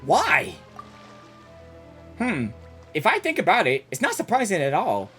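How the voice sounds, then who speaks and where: A woman gaming streamer giving casual live reactions in an informal, halting, exclamatory way. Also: fairly low pitch, huge pitch swings, fairly bright tone, soft background music.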